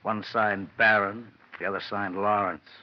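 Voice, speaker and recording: A man playing a sardonic, deadpan police lieutenant in a classic radio detective drama, speaking in hard-boiled slang. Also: on the low side, a little slow, lightly acted. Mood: businesslike. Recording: muffled, noisy old radio recording.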